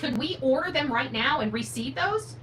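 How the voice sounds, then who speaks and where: Blunt, bright-voiced woman livestreamer. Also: sits high, animated, moderate pace. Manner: blunt, opinionated, emphatic, asks rhetorical questions. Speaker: true crime livestream host. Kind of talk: unscripted livestream commentary, replying to viewer comments. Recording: noticeable background noise with hum.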